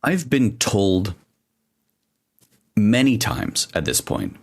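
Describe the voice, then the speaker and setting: A man's voice: lowish voice, takes it slowly, vocal fry, husky. A man co-hosting a podcast, chatting casually and telling rambling stories full of asides. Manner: rambling, animated storytelling with frequent asides. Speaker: podcast co-host.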